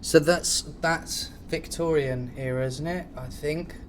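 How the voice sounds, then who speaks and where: Casual male podcast co-host, slightly high voice, slangy and sweary, some background noise. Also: steady tone, slow. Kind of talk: casual comedic chat.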